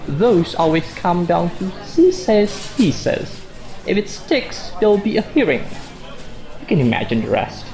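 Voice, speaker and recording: A man's voice: high voice, sing-song, measured pace, darkish tone, faint music behind, heavily acted, low-quality recording. A man playing a sarcastic, defensive office worker husband in a radio drama, sardonic and emphatic. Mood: tense, bitter. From Indonesia. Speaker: actor in a student radio drama.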